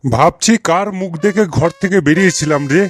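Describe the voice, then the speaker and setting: A man's voice: on the high side, fairly quick, close to an everyday voice. Man acting an exasperated West Bengal village uncle. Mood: sarcastic grumbling. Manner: grumbling, sarcastic, scolding, coarse. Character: irritable, exasperated village uncle, scolding cheeky youngsters. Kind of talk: comedy cartoon dialogue.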